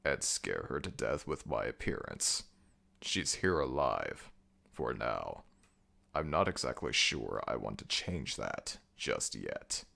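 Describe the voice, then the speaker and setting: A man acts out an ancient jackal god ruling the underworld in an audio roleplay. The voice is very low and gravelly, with slow, imperious delivery. He is stern, deliberate and menacing. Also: sing-song, bright, forceful tone, exaggerated voice. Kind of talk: ASMR audio roleplay acting.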